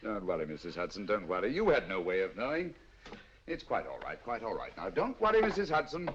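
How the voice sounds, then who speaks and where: An actor playing a sharp, commanding detective in a 1940s film, speaking with crisp precision. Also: male voice, on the low side, wildly swooping pitch, fairly quick, a little hiss or hum. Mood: soothing. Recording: dull lo-fi old film soundtrack.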